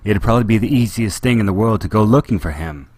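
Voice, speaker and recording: A man voicing a desperate, headstrong young princess with a pleading, sharp way of talking. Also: lowish voice, lively intonation, fast talker, no echo, voice pushed up. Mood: insistent.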